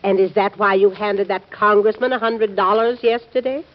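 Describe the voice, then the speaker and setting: An actress playing the sharp, teasing wife of a president in an old-time radio drama, speaking formally and with dry reproach. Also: female voice, mid-range voice, steady tone, moderate pace, lightly acted. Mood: skeptical. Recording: muffled vintage radio drama recording.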